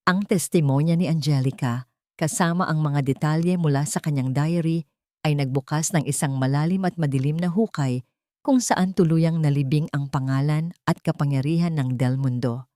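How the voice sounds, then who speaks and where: A woman's voice: low-pitched, moderate pace, mellow, no room sound, clean studio sound. A woman narrator reading a scripted dramatic story.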